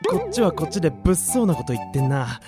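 A man playing a grumbling straight-man teenage boy, casual and given to sharp retorts, in an anime-style radio drama. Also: on the high side, wildly swooping pitch, fairly quick. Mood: exasperated. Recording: background music under the voice.

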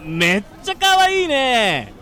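Someone acting a pushy flirt, swooping pitch. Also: huge pitch swings, slow pace, sharp tone, exaggerated voice. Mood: wheedling, flirty. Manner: wheedling, slangy, over-familiar. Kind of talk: amateur Japanese radio drama acting. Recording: busy street crowd behind the voice.

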